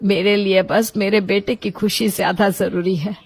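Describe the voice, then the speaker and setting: A repentant middle-aged mother, voiced by a woman storyteller, with a breathy, husky voice at a medium pitch. She is sincere and emphatic, a devoted parent in a storybook drama. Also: fairly even intonation, normal speed. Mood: remorseful, tender. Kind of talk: acted storybook dialogue.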